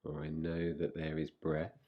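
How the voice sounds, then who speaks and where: A man guiding a relaxation meditation in a soothing, unhurried manner, leaving long pauses between short phrases. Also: very deep voice, monotone, dull audio. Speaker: meditation guide. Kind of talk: guided relaxation meditation, yoga nidra.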